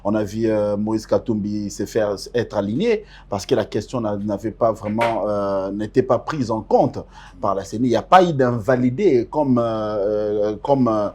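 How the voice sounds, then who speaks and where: A man's voice: fairly low pitch, measured pace, a little mellow, a little hiss or hum. A man hosting a studio interview, who echoes his guest's words and asks probing questions. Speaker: interviewer, host.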